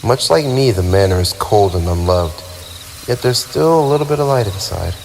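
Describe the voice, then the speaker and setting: Lonely ancient vampire, played by a male actor: very low voice, brooding and wistful, formal diction, ASMR roleplay over clear night insects and owls. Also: animated, normal speed, a little mellow, slight background noise. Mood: defensive, haughty. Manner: wistful, formal, theatrical phrasing with sighs. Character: lonely, brooding tsundere male vampire, centuries old, wounded pride. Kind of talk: ASMR roleplay monologue addressed to the listener. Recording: night ambience with crickets and owls.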